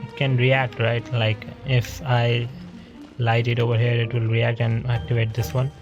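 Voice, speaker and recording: A man's voice: medium pitch, monotone, unhurried, dull audio. A man giving casual commentary as he plays a video game, reacting in short muttered phrases. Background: game music.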